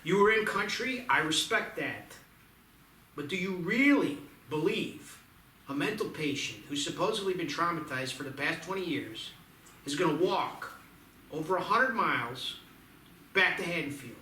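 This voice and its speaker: A man's voice: fairly high pitch, lively intonation, moderate pace, pushed and bright. A man at a screenplay table read playing a skeptical, practical small-town sheriff in a dry, conversational way. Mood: probing, doubtful. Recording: noisy, echoey room, distant mic.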